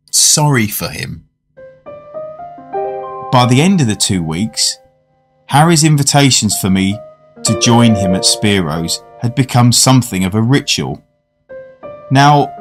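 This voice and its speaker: An actor playing a polite, curious gentleman diner in a radio drama, narrating and conversing in a measured, formal way. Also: fairly low pitch. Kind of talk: radio drama acting and first-person narration.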